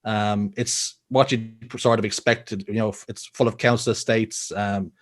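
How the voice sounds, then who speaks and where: Young dublin guy, lowish voice, earnest, keeps restarting sentences. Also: steady tone, measured pace. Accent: Dublin Irish. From Ireland. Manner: earnest storytelling, many restarts. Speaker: guest. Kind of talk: casual podcast interview.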